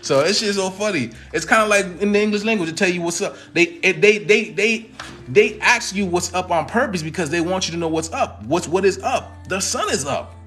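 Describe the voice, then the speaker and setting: A man's voice: high voice, animated, a little fast, fairly bright tone. A man hosting and reacting to a clip in casual, emphatic commentary, full of slang and repeated phrases, who says he is Haitian.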